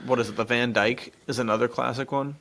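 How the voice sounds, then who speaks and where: A male podcast co-host with a noticeably creaky voice at an ordinary male pitch. He chats casually and enthusiastically, leaning on slang and calling his listener "dude.". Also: steady tone, quick pace, a bit bright, a little hiss or hum.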